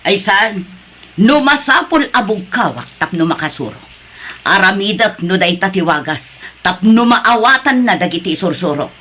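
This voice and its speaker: A woman's voice: medium pitch, big pitch swings, normal speed, bright, forceful tone. A woman with an Ilocano Filipino accent playing a stern, faultfinding supervisor in an audio drama, with a scolding, haughty manner. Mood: haughty, irritated.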